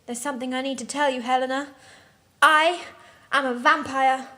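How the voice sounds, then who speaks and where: A woman's voice: high-pitched, steady tone, moderate pace, sharp tone. A woman puts on a young male vampire heartthrob for a parody story. He is suave and stilted, courteous to excess, with flowery, theatrical phrasing. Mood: theatrical confiding. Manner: stilted, over-polite, theatrical.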